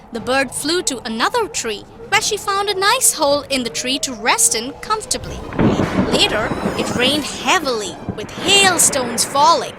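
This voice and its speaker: A woman voicing a patient cartoon mother who tells children fables in an expressive, instructive way. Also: sits high, very noisy. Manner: expressive, didactic. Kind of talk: children's storytelling.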